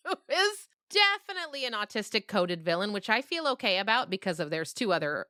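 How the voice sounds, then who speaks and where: Bright-voiced woman telling stories, close and dry. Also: mid-range voice, big pitch swings, a little fast, clean tone, no echo. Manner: emphatic, often checks in with "right?". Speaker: interviewed novelist. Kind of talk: animated conversational storytelling.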